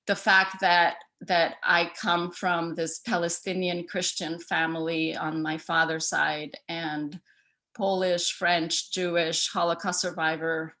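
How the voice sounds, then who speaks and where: Bright-voiced woman presenting over a call. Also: on the low side, little pitch movement, slow pace. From El Salvador. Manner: conversational, many fillers and restarts. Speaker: artist giving a talk. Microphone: video call.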